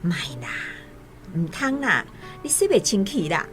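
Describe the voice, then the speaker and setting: A woman's voice: lowish voice, very animated, measured pace. Hardworking Taiwanese mother, guitar behind. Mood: gently scolding. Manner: homely, earnest, admonishing. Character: hardworking, stoic, loving Taiwanese village mother. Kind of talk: radio drama acting. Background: soft guitar music.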